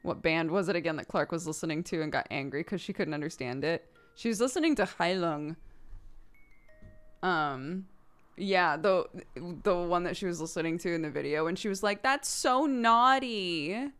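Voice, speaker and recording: A woman's voice: on the low side, moderate pace, a bit bright, clean tone, faint music behind. A woman in her thirties, a streamer chatting casually and rambling while playing a video game, laughing often.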